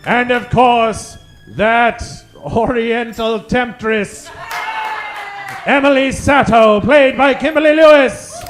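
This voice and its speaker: A man announcing and narrating an old-time radio serial, theatrical, with dramatic pauses. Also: extremely high pitch, fairly even intonation. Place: live stage performance. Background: music.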